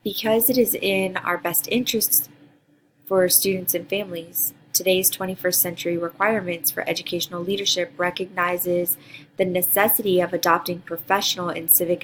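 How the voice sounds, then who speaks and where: A woman's voice: fairly low pitch, slight background noise, low-quality recording. A woman from Costa Rica, a teacher in her thirties, giving an earnest, partly read introduction for a graduate course. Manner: earnest, reads prepared answers with some ums. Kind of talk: reading a course introduction video.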